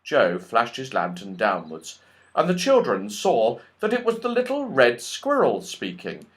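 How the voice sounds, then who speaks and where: A man reading a children's story as a narrator, with expressive, scripted storytelling. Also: mid-range voice, unhurried. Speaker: audiobook reader.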